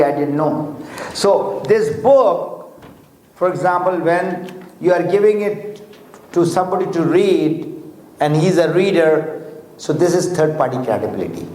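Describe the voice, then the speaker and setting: A man's voice: on the high side, a little mellow, slight background noise. A man whose first language is Urdu or Hindi, giving a motivational seminar talk in English with many fillers and restarts, asking his audience questions directly. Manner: slow, deliberate, with frequent fillers and restarts. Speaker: motivational speaker. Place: large hotel seminar hall. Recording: echoey hall with faint ambience.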